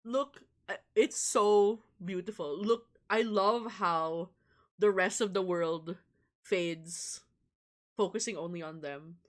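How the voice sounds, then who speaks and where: A woman's voice: fairly low pitch, slow pace. A woman livestreaming a visual novel, reading it aloud and reacting in a giddy, exclamatory, dramatic way. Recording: music behind the voice. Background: game background music.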